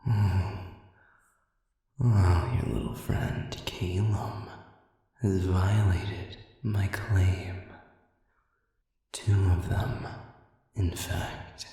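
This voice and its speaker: A man's voice: low-pitched, steady tone, extremely slow, long pauses, mellow, heavy reverb, long reverb tail, recorded from a distance, full character voice. A man voicing a sadistic, smug demon villain in an audio roleplay, drawling with theatrical mockery. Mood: smug menace.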